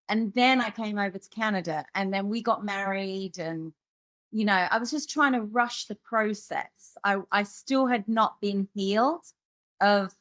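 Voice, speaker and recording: A woman's voice: mid-range voice, a bit bright, dry recording. A woman from the United Kingdom, a musician guest in an interview, telling her personal story at length, rambling with many fillers and restarts.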